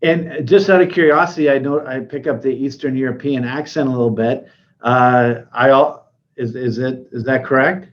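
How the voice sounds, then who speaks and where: A man's voice: mid-range voice, normal speed, dull audio. A man interviewing a guest in a conversational style.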